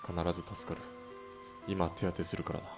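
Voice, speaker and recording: A male actor as a composed 1920s assassin in a radio drama. His voice is deep and quiet, his lines terse and steady. Soft music runs underneath. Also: fairly quick, vocal fry. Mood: concerned. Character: calm, terse, loyal-hearted hired killer of 1920s Japan.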